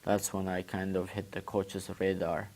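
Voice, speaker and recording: A young man from Uzbekistan whose first language is Turkish, answering interview questions in a halting way and often repeating words as he searches for phrasing. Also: deep voice, completely flat delivery, a little mellow. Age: 20s. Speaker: guest, former student athlete.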